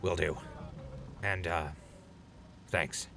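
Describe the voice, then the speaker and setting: Deep, gravelly wasteland radio DJ man acting. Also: steady tone, extremely slow, a bit bright, slight background noise, full character voice. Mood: hesitant. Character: earnest, apologetic male wasteland radio DJ. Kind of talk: audio drama acting.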